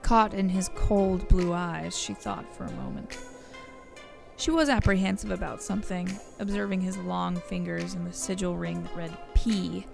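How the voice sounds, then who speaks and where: A woman narrates a scripted audio adventure. Her voice sits fairly low with noticeable creak, and music plays clearly underneath. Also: normal speed.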